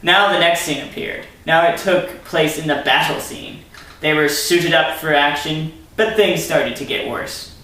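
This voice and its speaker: A man's voice: fairly high pitch, measured pace, bright, forceful tone. A man reading a story aloud theatrically, breaking in with sarcastic asides as commentary. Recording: very echoey room, distant mic.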